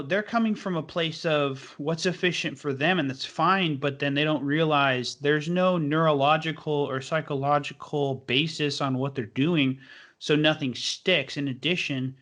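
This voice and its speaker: A man's voice: a little high, fairly bright tone. A young man hosting a podcast who talks casually and enthusiastically and rambles with many fillers. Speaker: podcast host.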